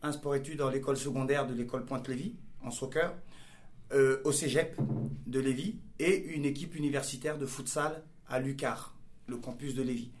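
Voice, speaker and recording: A man from France with a French accent, a soccer coach answering interview questions hesitantly, with many pauses and fillers. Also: medium pitch, fairly even intonation.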